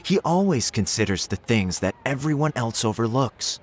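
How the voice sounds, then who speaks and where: A man's voice: mid-range voice, very animated, moderate pace, soft background music, lightly acted. A man playing an earnest, competitive, easily irked young assistant in a video game, speaking expressively. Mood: earnest reporting. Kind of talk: video game character dialogue.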